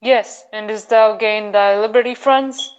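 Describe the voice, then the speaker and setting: A woman's voice: medium pitch, steady tone, measured pace, clean tone, lo-fi sound, lightly acted. A young woman playing a bearded lion-man prince in an old-time radio serial, speaking in formal, archaic phrasing. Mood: solemn. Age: teens to young adult. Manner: archaic, formal thee-and-thou speech. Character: powerful, huge-bearded male prince of the Lion Men.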